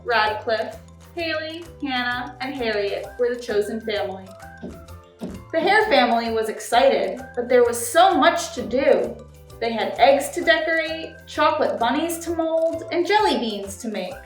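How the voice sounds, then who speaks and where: A woman who volunteers as a story time reader, speaking to children as she reads picture books aloud. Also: a little high. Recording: roomy echo, distant mic, music behind.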